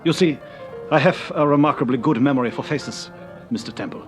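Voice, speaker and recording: An actor playing a suave, guarded, faintly menacing man in a radio drama, speaking formally and with cool, deliberate politeness. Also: mid-range voice, faint music behind, natural voice. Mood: coolly knowing. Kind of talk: vintage radio drama acting.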